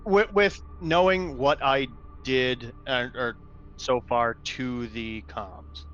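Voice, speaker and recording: A man's voice: mid-range voice, slow, fairly bright tone, soft background music. A man playing a tabletop game, casually asking about options, on a dull lo-fi mic.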